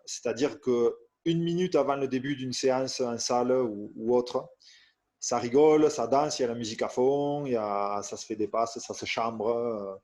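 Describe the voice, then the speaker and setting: A man in his thirties from France tells his story as an interview guest, his delivery halting and full of fillers. His voice sits at an ordinary middle pitch. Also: a little mellow. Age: 30s. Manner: hesitant, many fillers and restarts. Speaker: strength coach, podcast guest.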